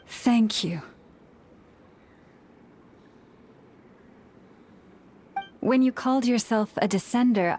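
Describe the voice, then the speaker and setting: A woman playing a serene, melancholy young antlered priestess in a video game, speaking in a solemn, measured, formal storytelling manner. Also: mid-range voice, darkish tone, a little hiss or hum, natural voice. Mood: vulnerable, relieved. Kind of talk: scripted video game dialogue.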